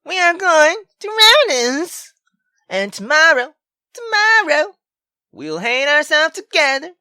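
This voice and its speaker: A high, sharp-voiced drunk young woman from a visual novel, acted out by a woman. She rambles in slang, halting and bossy yet fond of her friend. The sound is close and dry. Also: big pitch swings, no room sound, full character voice. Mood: tipsy, rambling.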